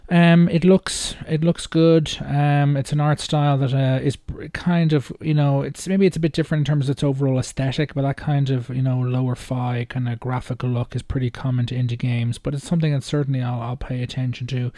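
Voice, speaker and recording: A man giving casual, off-the-cuff video commentary in a hesitant, rambling way with halting phrasing. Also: mid-range voice, flat delivery, mellow, no echo. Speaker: video host reacting to game trailers.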